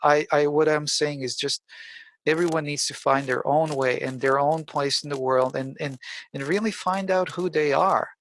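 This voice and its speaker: A man hosting a podcast, talking reflectively in a measured, halting, conversational way. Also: fairly high pitch, steady tone, a bit bright, slight background noise. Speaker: podcast host. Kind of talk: reflective conversational interview talk.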